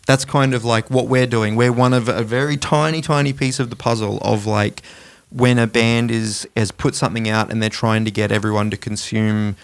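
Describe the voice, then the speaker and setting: A man's voice: mid-range voice, fairly even intonation, normal speed, clear, resonant tone. A man co-hosting a music podcast in casual, conversational chat. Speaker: podcast co-host.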